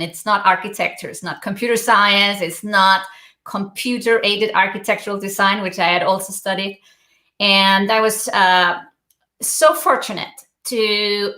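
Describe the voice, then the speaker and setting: A woman's voice: medium pitch, pushed and bright, roomy sound. A middle-aged Icelandic woman with an Icelandic accent, telling her story earnestly as a guest on a video-call interview, with frequent hesitations. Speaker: guest entrepreneur.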